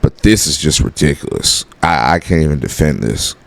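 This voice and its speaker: A man's voice: very deep voice, normal speed, gravelly, background noise. A man hosting a football reaction video in casual, blunt talk that is peppered with swearing. His voice is nasal and congested from a sinus infection, and his throat is sore. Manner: blunt, excitable, swears freely. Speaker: football reaction host and coach.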